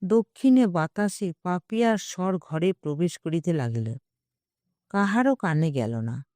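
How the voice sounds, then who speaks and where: A woman narrates literary fiction in a measured, expressive way. Her voice is mellow and mid-pitched, and she takes her time over each phrase. The sound is dry. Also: no room sound. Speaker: audiobook narrator. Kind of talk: literary short story narration.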